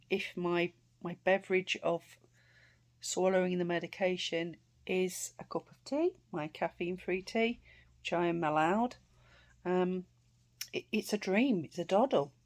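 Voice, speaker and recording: A British woman vlogging casually to camera, chatty and rambling, her voice a bit croaky. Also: on the low side. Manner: chatty, rambling with many fillers and restarts.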